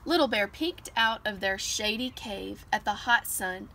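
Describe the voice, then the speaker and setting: A woman giving an expressive read-aloud of a children's picture book, recorded outdoors on a porch. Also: mid-range voice, a little slow. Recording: noisy outdoor home recording.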